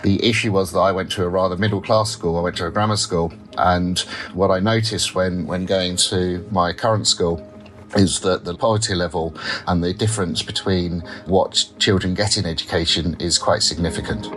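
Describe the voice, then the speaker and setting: A man's voice: sits low, steady tone, moderate pace, fairly bright tone, poor audio. A man who works as a teacher, reflecting in a recorded interview clip, often restarting his sentences.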